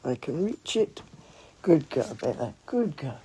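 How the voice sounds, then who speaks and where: A woman leading a casual mindfulness livestream from home, talking in a chatty, meandering, gently informal way, with a hoarse voice, recorded on a phone. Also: deep voice, big pitch swings, measured pace, slight background noise. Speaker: mindfulness teacher. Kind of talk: casual livestream with guided breathing. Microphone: phone, handheld at times.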